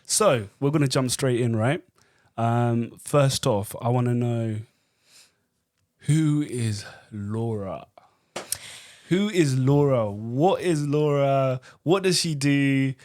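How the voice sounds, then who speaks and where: A man's voice: mid-range voice, slow pace, a little mellow. A young man hosting a podcast, talking in casual, slangy banter and laughing easily. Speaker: podcast host.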